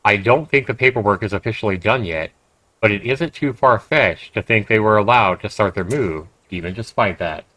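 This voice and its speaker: A man's voice: fairly low pitch, normal speed, pushed and bright, no echo, rough recording. A man livestreaming a game, commenting conversationally and emphatically and reading text aloud. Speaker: game streamer.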